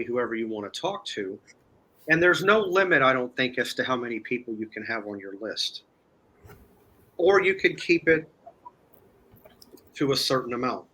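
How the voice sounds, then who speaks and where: Man casually explaining, mid-pitched voice. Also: bright, forceful tone. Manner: repeats himself, rambling explanations. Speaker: guest demonstrating a phone app.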